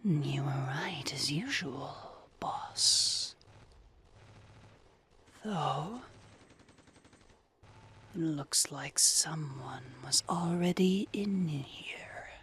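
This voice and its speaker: A performer playing a deferential, loyal naga underling in an audio roleplay, with a breathy, hushed voice. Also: mid-range voice, expressive, a little hiss or hum. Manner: slow, deferential. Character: deferential, loyal naga henchperson to a gang boss.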